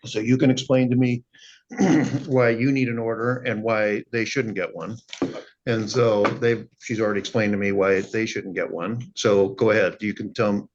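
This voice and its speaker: A man's voice: on the low side, steady tone, moderate pace, slight background noise. A man presiding as a judge, questioning people in an informal, plain-spoken and conversational way. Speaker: judge presiding over a hearing. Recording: dull, muffled courtroom stream audio.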